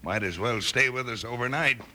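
An actor playing a hearty, insistent American mine boss in an old radio western. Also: male voice, medium pitch, normal speed, sharp tone. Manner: smoothly insistent, repeats himself. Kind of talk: 1950s radio western acting. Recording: noisy old radio recording.

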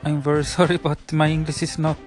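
Swedish-accented man, 20s, solo podcaster, halting and apologetic, slightly high pitch, flat delivery, faint music behind. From Sweden. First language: Spanish. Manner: hesitant, many fillers and restarts, apologetic. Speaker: podcast host. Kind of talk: casual solo podcast monologue.

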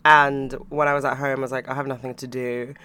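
A young man hosting a podcast, chatting casually about books and TV, swearing freely and laughing now and then, his voice a bit croaky. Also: mid-range voice, flat delivery, fast talker, sharp tone. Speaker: podcast host.

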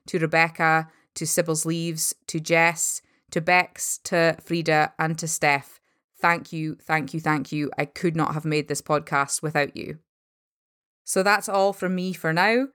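A woman hosts a casual fan podcast in a low, steady voice. She talks in an effusive, chatty way. The sound is dry and close. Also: clear, resonant tone, no room sound. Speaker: podcast host.

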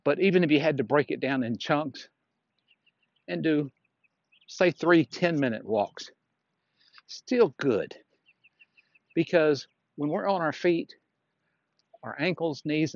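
A man's voice: a little high, expressive, measured pace, long pauses, a little mellow, no echo. Man podcasting in dull, dry sound. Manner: plainspoken, explanatory, frank. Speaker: senior fitness instructor and podcast host. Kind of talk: casual solo podcast talk.

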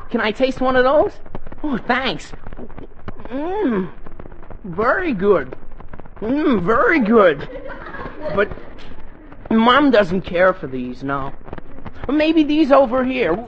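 A man's voice: very high-pitched, fairly bright tone, full character voice. A man with an American accent playing an eager, dithering teenage boy, earnest and exclamatory, in a 1940s radio comedy. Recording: noisy muffled 1940s radio broadcast.

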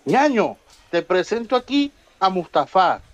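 A man plays a humble immigrant in a comic radio soap. His voice is high and swoops expressively. He explains things earnestly and respectfully. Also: sing-song, unhurried, highs cut off. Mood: respectful greeting. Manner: deferential, explanatory. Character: polite, earnest Ecuadorian immigrant godfather pal. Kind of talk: humorous radio soap acting.

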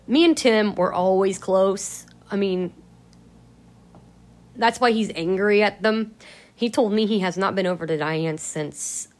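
A talkative woman rambles through a relaxed vlog with a slightly raspy, allergy-worn throat. She is blunt and swears freely, her pitch sitting in an ordinary middle range. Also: a little slow. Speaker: vlogger. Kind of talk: casual rambling vlog chat.

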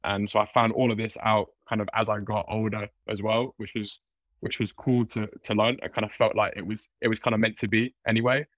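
A man with a London accent and a lowish voice, speaking as a podcast guest. He talks casually, stumbling through restarts and frequent fillers. The recording is dry and close. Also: little pitch movement, a little fast, a bit bright, no room sound. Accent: London English. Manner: hesitant, many restarts and "like"s. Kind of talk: casual interview chat.

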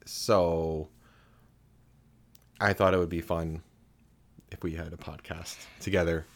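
A man with a deep voice co-hosting a home podcast, talking in a halting way with restarts, close to a desk mic with a pop filter. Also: little pitch movement. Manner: halting, with many restarts and fillers. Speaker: podcast co-host. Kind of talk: casual chat. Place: home room, sitting opposite his partner. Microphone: USB desk mic with pop filter.

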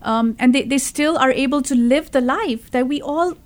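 A woman's voice: high voice, normal speed. A woman in her thirties from Zambia, a podcast guest telling her story in casual talk, earnest, with many fillers and restarts. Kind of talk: casual conversation.

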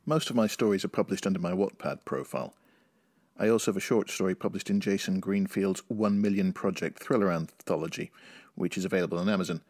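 A middle-aged man from Northern Ireland with a very low, slightly rough voice speaks as a writer on a podcast. The sound is a little roomy. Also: quick pace, darkish tone, clean studio sound. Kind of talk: interview answers and reading own short story.

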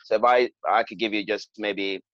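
A man's voice: on the low side, fairly even intonation, normal speed, a bit bright, no room sound. A man from Cameroon, a podcast guest, telling his experiences in a hesitant, conversational way with many fillers and restarts. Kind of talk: conversational interview storytelling. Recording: dull, lo-fi sound.

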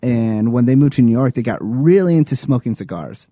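A man co-hosting a casual podcast, telling party stories in an animated way and laughing at his own jokes. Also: mid-range voice, brisk, no echo, clean studio sound. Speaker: podcast co-host. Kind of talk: casual chat and anecdotes.

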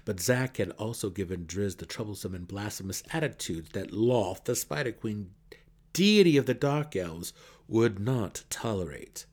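A man's voice: on the low side, animated, moderate pace, a little mellow. A man reading a fantasy novel as a narrator in a theatrical, emphatic way. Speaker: audiobook narrator.

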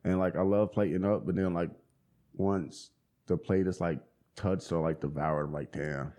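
A man in his twenties talking casually in an interview, relaxed and full of slang, fillers and restarts. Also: low voice, moderate pace. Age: 20s. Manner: laid-back slang, many fillers and restarts. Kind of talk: casual conversation.

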